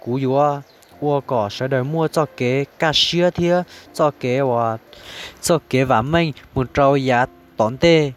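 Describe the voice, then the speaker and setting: A man's voice: mid-range voice, measured pace, close to an everyday voice. A man voicing a male character in a comic cartoon story. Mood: talkative. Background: music.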